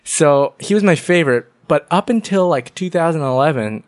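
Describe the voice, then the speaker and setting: A man's voice: fairly high pitch, animated, moderate pace, no room sound, clean studio sound. A young man from Vietnam telling funny stories about his childhood in a casual chat, joking at his own expense. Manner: jokey, self-deprecating storyteller.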